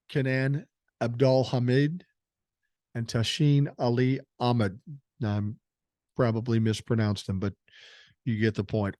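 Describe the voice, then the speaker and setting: A man's voice: medium pitch, darkish tone, no echo, clean studio sound. An older man hosting a political podcast, giving blunt, profane opinions and reading news stories aloud. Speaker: podcast host.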